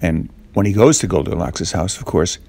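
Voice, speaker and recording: A man telling a children's story off the cuff, in a halting way with little questions to the listener. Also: low voice, vocal fry, mellow, no room sound.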